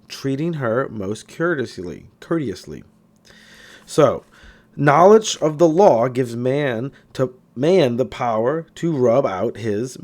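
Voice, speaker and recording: A man reads aloud from a self-help book in a medium-pitched voice. His intonation is very animated, rising and falling expressively. The delivery is that of an audiobook reader who is a little unpolished and sometimes stumbles.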